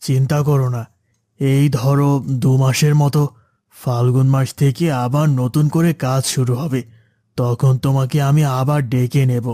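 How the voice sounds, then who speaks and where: A man voicing a considerate, mild-mannered employer in an animated moral story. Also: medium pitch, measured pace, dry recording, clean studio sound, close to an everyday voice. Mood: kindly. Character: kindly, considerate male garden owner and employer.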